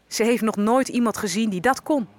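A woman's voice: a little high, fairly quick, noisy background, natural voice. An actress playing an earnest freed captive woman who narrates her own story in a radio drama. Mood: earnest, reflective. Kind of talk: dramatic first-person narration.

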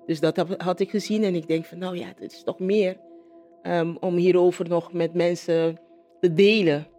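A woman, a city councillor, recalls things in a spontaneous interview, pausing to search for words. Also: lowish voice, fairly even intonation, warm tone, faint music behind.

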